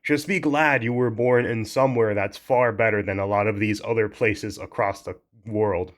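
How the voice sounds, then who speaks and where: A man's voice: fairly low pitch, steady tone, moderate pace. A young man commenting in a casual, heated rant, blunt and swearing freely, often restarting his sentences.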